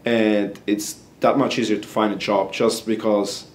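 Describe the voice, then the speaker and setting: A young man from Bulgaria, a graduate student speaking English as a second language, gives interview answers in a somewhat low voice. He explains earnestly and often pauses on fillers. He is recorded close to the camera in a small, plain office. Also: little pitch movement.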